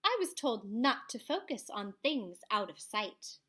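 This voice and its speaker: A woman voicing an excitable, imaginative child in a storybook, calling out exuberantly. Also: mid-range voice, unhurried, husky, full character voice. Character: excitable, imaginative child narrator shouting goodnights on adventure.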